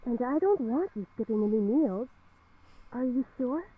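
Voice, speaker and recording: A woman playing a worried, gently coaxing middle-aged mother in a visual novel, soft and pleading. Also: fairly high pitch, expressive, moderate pace, breathy edge. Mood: gentle concern. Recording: thin, phone-like game audio.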